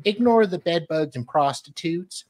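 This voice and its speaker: A woman's voice: lowish voice, animated, measured pace, a little mellow. A woman co-hosting with casual, sarcastic comic banter and dramatic reading. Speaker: co-host.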